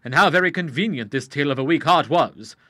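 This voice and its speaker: A man's voice: fairly high pitch, expressive, quick pace, sharp tone, no room sound, studio quality. A man voicing an earnest, plain-spoken young man who is suspicious, in an audiobook. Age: young adult.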